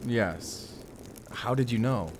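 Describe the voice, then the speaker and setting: A man playing a determined, earnest vampire slayer in a cinematic audio roleplay, explaining things in a measured way. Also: mid-range voice, lively intonation. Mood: guarded. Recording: clearly noisy ambience behind the voice.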